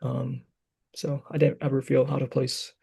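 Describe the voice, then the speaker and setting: Young man from Michigan, guest on a casual podcast, monotone, mellow gravelly voice, hesitant delivery. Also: medium pitch, normal speed. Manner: hesitant, many fillers. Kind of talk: casual chat.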